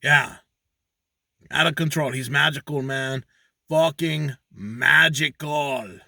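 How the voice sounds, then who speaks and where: A man's voice: on the high side, slow pace, creaky, pushed and bright, no echo, studio quality, heavily acted. A man doing a boastful hustler, Sanchez. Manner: crude, rambling, refers to himself in third person. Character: boastful male Mexican donkey handler Sanchez. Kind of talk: comedic character monologue.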